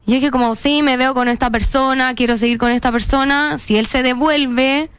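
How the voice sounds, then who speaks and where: A woman's voice: high-pitched, steady tone, normal speed, smooth. A young woman with a Chilean accent, chatting casually and expressively as an interview guest, often repeating words for emphasis. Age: young adult.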